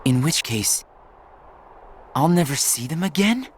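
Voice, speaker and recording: A man's voice: a little high, big pitch swings, a little slow, a little hiss or hum. Earnest, puzzled teenage boy. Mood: uncertain. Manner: searching, uncertain questions. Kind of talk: video game cutscene acting.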